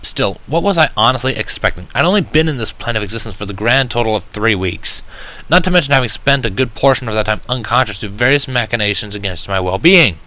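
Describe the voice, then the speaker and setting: A man narrating a first-person fan fiction story in an expressive, wry, dramatic manner. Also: medium pitch, fast, rough recording. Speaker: audiobook narrator.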